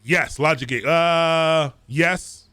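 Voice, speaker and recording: Man, Baltimore accent, bootcamp coding instructor; bright, forceful delivery, dry close sound, fast and casual with lots of restarts. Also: fairly high pitch, clear, resonant tone, no room sound, soft background music. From Baltimore area, Maryland. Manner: casual, talks quickly, repeats and restarts phrases; chats with viewers between points. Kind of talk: live-streamed lecture.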